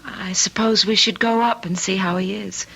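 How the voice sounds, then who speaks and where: Casting: young wife in a vintage radio play, tense forward voice, tired yet determined, dramatic hesitations. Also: female voice, mid-range voice, steady tone, normal speed, vocal fry, a bit bright, slightly rough, poor audio. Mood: uneasy. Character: weary, resolute estranged wife and daughter. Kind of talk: old-time radio drama acting.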